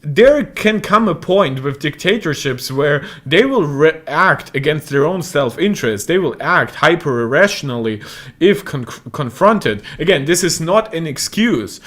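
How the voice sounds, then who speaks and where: A man's voice: fairly high pitch, lively intonation, unhurried, fairly bright tone. Chatty young man. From Moldova. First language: Russian. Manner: rambling, many fillers and self-corrections. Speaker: tech channel host. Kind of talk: casual commentary monologue.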